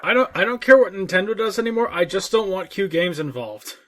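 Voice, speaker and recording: A man's voice: sits high, lively intonation, fast, fairly bright tone. A man co-hosting a gaming podcast, chatting casually and informally, often repeating himself as he makes his point. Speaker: co-host of a gaming discussion podcast. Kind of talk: casual chat.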